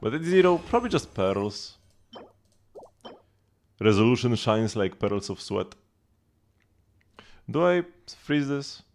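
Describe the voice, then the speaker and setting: A man's voice: mid-range voice, big pitch swings, takes it slowly, creaky, pauses often. A man giving casual, offhand live commentary as a game streamer, thinking aloud as he plays and chats with viewers. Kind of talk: casual gaming livestream commentary, chatting with viewers. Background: music.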